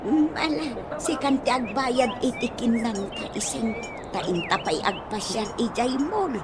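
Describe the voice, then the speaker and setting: Woman playing a very high, gentle trusting friend. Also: expressive, measured pace, background noise. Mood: friendly. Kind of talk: Ilocano radio comedy drama acting.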